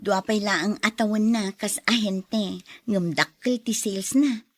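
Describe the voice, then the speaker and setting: An actress with an Ilocano Filipino accent playing an affectionate, hopeful wife in a radio drama. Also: female voice, mid-range voice, unhurried, dry recording, lightly acted. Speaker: actor in an Ilocano audio drama. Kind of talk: acted radio drama dialogue.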